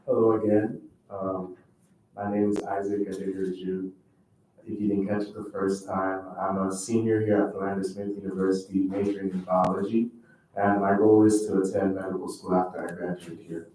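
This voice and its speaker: A man's voice: low voice, level tone, normal speed. Distant, echoey young man hosting an event. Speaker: student event host. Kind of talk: informal event announcing to a group. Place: large indoor room at a student event. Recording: very echoey room, distant mic, noisy.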